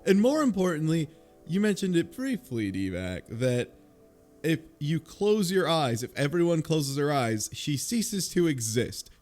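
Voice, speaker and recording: A man's voice: a little high, very animated, unhurried, a little mellow, faint music behind. A man co-hosting a podcast, talking casually about a game. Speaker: podcast co-host. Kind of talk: casual chat.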